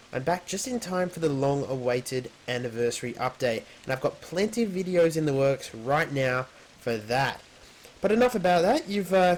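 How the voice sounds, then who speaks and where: A man hosting a gaming news video, narrating in an informal scripted style. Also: mid-range voice.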